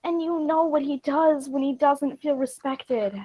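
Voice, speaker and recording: High-pitched, indignant woman as diva actress. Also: steady tone, unhurried, muffled recording. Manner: indignant, emphatic. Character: temperamental, self-important actress and aggrieved wife. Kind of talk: theatrical stage comedy acting.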